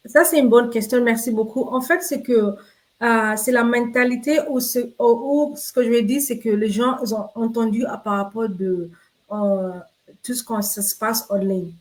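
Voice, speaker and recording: A woman from Kenya, a mother and online entrepreneur, talking in a casual live stream in an unhurried, hesitant way with many fillers. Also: a little high. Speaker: guest business partner.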